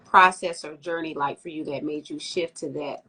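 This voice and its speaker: A woman hosting a livestreamed podcast, greeting viewers warmly and asking long, rambling questions. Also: low voice, steady tone, normal speed. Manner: upbeat, welcoming, rambling questions. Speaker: podcast host.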